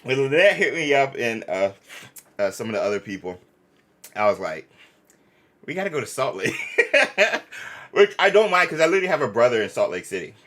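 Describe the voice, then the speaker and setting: A man's voice: medium pitch, big pitch swings, fairly quick, long pauses, fairly bright tone. A man hosting a gaming fan channel, chatting casually and effusively in slangy, bro-heavy speech. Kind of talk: casual live-stream chat.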